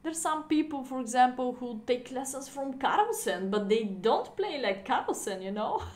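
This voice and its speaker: A woman's voice: high-pitched, animated, moderate pace, darkish tone. Chatty woman. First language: Bulgarian. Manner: chatty, laughing, non-native phrasing. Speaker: chess streamer. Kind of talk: casual live-stream commentary. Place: indoor room at home.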